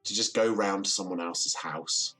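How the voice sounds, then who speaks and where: A reserved, lonely bachelor in an audio drama. His voice is low-pitched and rough-edged. He comes across as wistful and diffident, quick to put himself down. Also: bright, forceful tone, faint music behind. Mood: downbeat, wistful. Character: lonely, reserved bachelor neighbour, self-deprecating, hard-working.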